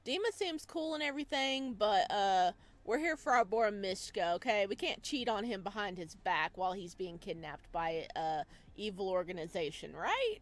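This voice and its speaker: A woman hosting a visual novel let's play, playful and expressive as she chats and reads aloud. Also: mid-range voice, normal speed, few pauses. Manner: playful, expressive reactions, addresses viewers. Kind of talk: visual novel playthrough, chatty commentary and read-aloud narration.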